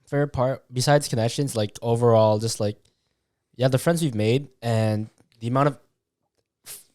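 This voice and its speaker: A man's voice: medium pitch, normal speed, darkish tone, no echo, clean studio sound. A young man from Canada co-hosting a podcast, telling stories with energy, swearing and calling people "dude" and "bro". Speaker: podcast co-host. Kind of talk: casual chat.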